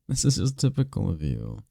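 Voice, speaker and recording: A man playing a tender, teasing incubus demon boyfriend in an intimate ASMR roleplay, speaking in a breathy, soothing, soft-spoken way. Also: no room sound, studio quality, exaggerated voice. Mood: affectionate, reassuring. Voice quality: breathy, gravelly low voice.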